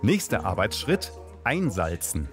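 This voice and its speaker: Male voice-over for a food report, animated pitch, music underneath. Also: a little slow. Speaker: documentary narrator. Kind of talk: scripted narration.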